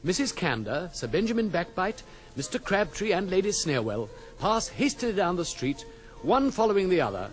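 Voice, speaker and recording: A man's voice: sits high, big pitch swings, moderate pace, faint music behind, rough recording. A man reading scripted narration as the narrator of a play.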